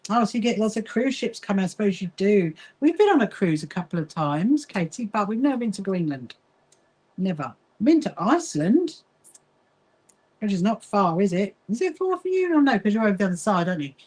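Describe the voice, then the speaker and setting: A woman's voice: medium pitch, big pitch swings, fairly quick, a little mellow, slightly rough. A woman from England's south coast with a mixed Kentish and Sussex accent, chatting casually with livestream viewers while she sews. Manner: chatty, greets viewers and answers their comments. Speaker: livestream host of a quilting association.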